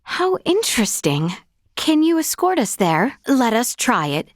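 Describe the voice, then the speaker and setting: A woman's voice: on the high side, sing-song, unhurried, no echo, studio quality. A woman voicing a formal, earnest, resolute young noblewoman in a video game, speaking precisely in courtly, old-fashioned phrases. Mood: calm, curious. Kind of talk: video game character dialogue.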